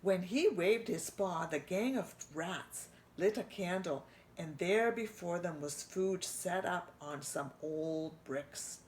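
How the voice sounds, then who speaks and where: A woman's voice: fairly low pitch, takes it slowly, few pauses, slight background noise. A woman reading a children's storybook aloud, stopping to ask her young listeners questions. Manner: expressive, asks listeners questions. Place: church.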